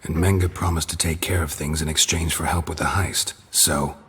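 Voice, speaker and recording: Very deep, gruff monster hunter. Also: male voice, moderate pace, big-room sound. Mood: blunt. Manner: terse, clipped, deadpan. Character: gruff, stoic, blunt male monster hunter. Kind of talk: video game voice acting.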